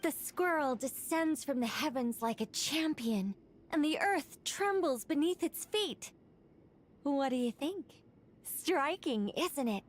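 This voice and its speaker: An actress voicing a serene, learned angelic woman in a video game, self-assured and gently theatrical. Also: high voice, lively intonation, slow, slight background noise. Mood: politely proud.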